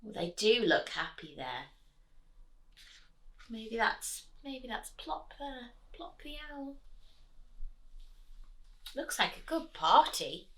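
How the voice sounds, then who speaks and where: A woman storyteller with a high, bright voice reads to small children. Her pitch swings widely and she takes her time, chatting to the listener between passages. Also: slow pace, long pauses. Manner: expressive, drops to whispers, asks the listener questions. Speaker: storyteller reading a picture book to children. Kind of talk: animated read-aloud with chatty asides to young children.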